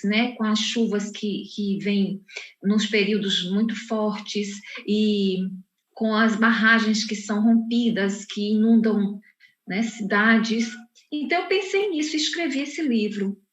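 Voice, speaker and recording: A woman from Salvador, a poet and professor, speaking unhurriedly at an online book launch and often restarting her sentences. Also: on the high side. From Salvador, Bahia, Brazil. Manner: unhurried, with frequent restarts and fillers. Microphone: video call. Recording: muffled, roomy video call audio.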